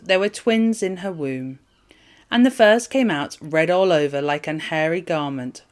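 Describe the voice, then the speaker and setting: Low-pitched woman reading scripture aloud. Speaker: Bible reader. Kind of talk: scripture reading, formal narration.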